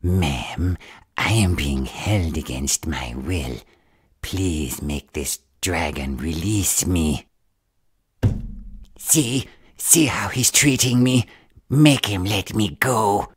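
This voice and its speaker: A man voicing a menacing, whiny gremlin held captive, with a husky rasp, in an audio roleplay. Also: deep voice, extremely slow, dry recording, clean studio sound, exaggerated voice. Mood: pleading, indignant. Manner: pleading, threatening, self-justifying.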